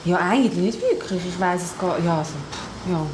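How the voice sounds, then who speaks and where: Woman acting a lowish-voiced, hesitant Swiss woman. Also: lively intonation, fairly quick, close to an everyday voice. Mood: puzzled. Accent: Swiss German. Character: puzzled, hesitant woman questioned by police. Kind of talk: Swiss German radio play dialogue. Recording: echoey room with background noise.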